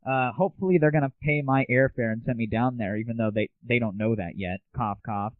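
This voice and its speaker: A man speaks through a thin, phone-like recording with very little room sound. He hosts casually, delivering news in an informal, blunt, mildly crude way at an ordinary male pitch. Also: a little fast, dry recording. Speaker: show host. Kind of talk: casual monologue, gaming news. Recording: tinny narrow-band phone-like audio.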